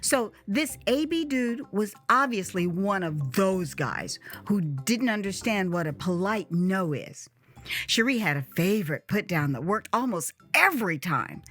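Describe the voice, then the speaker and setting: A woman reading her own memoir as dramatized storytelling, with a theatrical, expressive and emphatic delivery. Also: medium pitch, a little slow, soft background music. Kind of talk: dramatized first-person storytelling.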